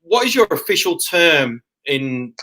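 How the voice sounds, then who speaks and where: A man's voice: fairly high pitch, big pitch swings, a little slow, bright, forceful tone. A man hosting a live interview show, chatty and full of fillers, heaping praise on his guest. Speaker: host.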